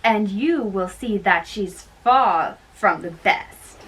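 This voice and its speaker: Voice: medium pitch, slow pace, a bit bright, husky, rough recording. A young-sounding reader giving an amateur dramatic reading of a play. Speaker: reader performing all parts.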